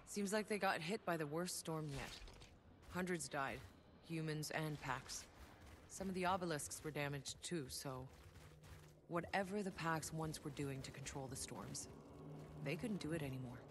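A battle-worn soldier from a sci-fi video game, voiced by an actor. The delivery is blunt, grim and world-weary. Noisy game ambience sits clearly behind the voice. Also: mid-range voice, normal speed, natural voice. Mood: grim, reflective. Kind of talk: video game dialogue, reflective narration and cutscene.

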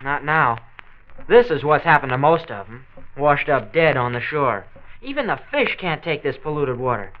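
An actor with an American accent playing an earnest, grumbling teenage high school boy in a late 1940s radio drama. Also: very animated, moderate pace. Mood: glum. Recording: dull old transcription disc.

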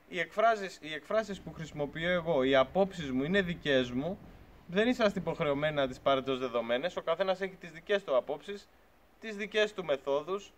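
A man's voice: a little high, lo-fi sound. A man in his thirties, a beekeeping channel host, talking earnestly and argumentatively to the camera outdoors beside a truck. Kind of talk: casual talk to camera. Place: outdoors by a pickup truck at a farm shed.

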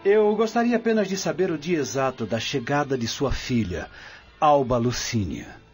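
Man acting lively, anxious Roman prefect. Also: medium pitch, moderate pace, faint music behind, close to an everyday voice. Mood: anxious politeness. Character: hurried Roman prefect, anxious, feigning casualness. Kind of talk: radio drama acting.

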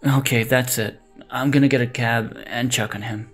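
A man's voice: mid-range voice, fairly even intonation, normal speed, faint music behind, close to an everyday voice. A young man playing an earnest, anxious college-age son in an audio drama. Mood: worried. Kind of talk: amateur audio drama acting.

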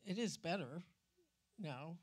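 An older woman moderates a panel on stage. Her voice is on the low side for a woman. She speaks informally, in a halting, conversational way. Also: lively intonation. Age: 60s or older. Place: auditorium stage. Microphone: handheld microphone.

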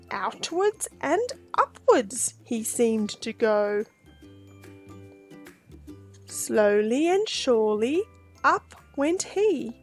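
A woman in her forties reads a picture book for children. Her voice is high and she takes her time, shaping each phrase with deliberate expression. There is faint music behind her. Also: a little mellow. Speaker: storytime host and reader.

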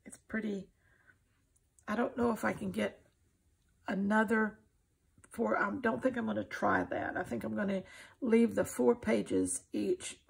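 An older woman with a husky, gravelly voice, giving an informal, folksy and encouraging craft demonstration. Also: mid-range voice, fairly even intonation, measured pace, long pauses. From United States. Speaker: craft tutorial host. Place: indoors at a craft table.

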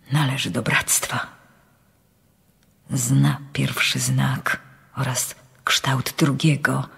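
Fearful mystical nun, husky whisper, slow halting delivery, long reverb, radio drama. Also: female voice, deep voice, exaggerated voice. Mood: weary, pleading. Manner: halting, hushed. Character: frail foreign nun, mystical, fearful, pleading. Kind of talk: radio drama letter monologue.

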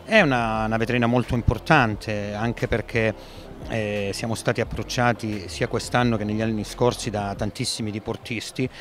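A man's voice: lowish voice, steady tone, moderate pace, noisy background. A middle-aged man, a port director, answering interview questions at a boat show into a handheld mic, hesitating often with fillers and restarts. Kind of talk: trade fair interview.

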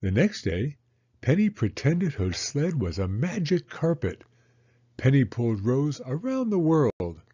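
A man narrating a children's read-aloud story in an expressive, deliberate manner. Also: medium pitch, slightly rough, muffled recording.

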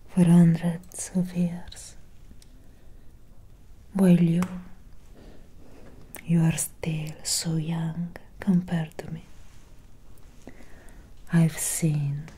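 Woman playing a whispering, slow-spoken vampire doctor. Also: low voice, dark tone, exaggerated voice. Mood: gentle, wistful. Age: young adult. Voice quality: breathy whisper. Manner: slow, measured, solemn. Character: centuries-old female vampire doctor, grave, gently caring. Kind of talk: soft-spoken ASMR roleplay.

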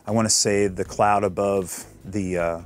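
A man in his fifties from Illinois, giving a relaxed, technical tour of his basement recording studio. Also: lowish voice, a little slow, soft background music. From Illinois, USA.

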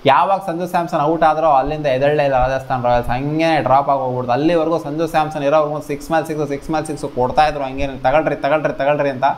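A man gives casual sports commentary in a slightly high voice. He speaks very fast, in a colloquial, exclamatory way, as a channel host would. He is close-miked on a lapel in a small indoor room. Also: fairly even intonation. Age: 20s. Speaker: host of a cricket review channel. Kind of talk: casual match commentary to camera. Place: small indoor home studio. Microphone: clip-on lapel mic.

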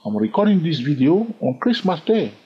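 A man teaching a chart lesson over his screen, animated and full of rhetorical questions, chuckling now and then. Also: on the high side, moderate pace, a little hiss or hum. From lives in London. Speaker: trading educator. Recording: dull lo-fi sound.

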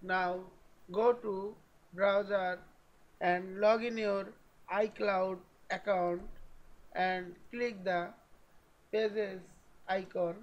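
A man narrating a step-by-step software tutorial in short, halting phrases. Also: high-pitched, little pitch movement, dull audio.

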